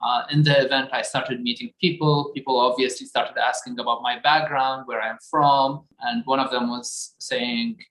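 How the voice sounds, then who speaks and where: Flat-voiced man, roomy sound. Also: medium pitch. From Iraq. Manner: earnest, measured recounting. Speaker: storyteller. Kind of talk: personal testimony. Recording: roomy echo.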